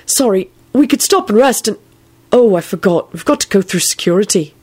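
A woman's voice: medium pitch, animated, brisk, darkish tone, husky. A woman voicing a mild-mannered young wizard man in a storybook, gloomy and apologetic but vehement when roused. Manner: apologetic, turning to indignant barking.

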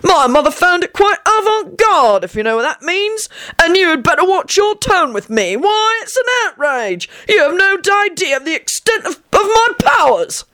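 A woman voicing an eccentric, boastful old man with a warbling, croaky voice. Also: very high-pitched, sing-song, normal speed, a bit bright, pitched above the performer's own voice, exaggerated voice. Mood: indignant. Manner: cackling, blustering, indignant.

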